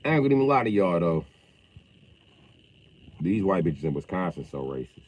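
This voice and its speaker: A man chatting casually on a livestream, loud and profane, with an animated delivery. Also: deep voice, moderate pace.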